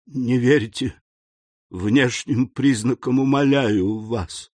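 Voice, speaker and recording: A man's voice: fairly low pitch, very animated, slow, slightly rough, no room sound, exaggerated voice. Man putting on a trembling frightened noblewoman. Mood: frightened pleading. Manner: faltering, pleading, hushed. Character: terrified guilty noblewoman, trembling wife.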